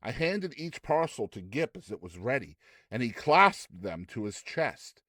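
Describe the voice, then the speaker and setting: A man narrating classic fiction as a dramatized audiobook, with measured, theatrical storytelling. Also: medium pitch, big pitch swings, sharp tone, no room sound, clean studio sound. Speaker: narrator, reader.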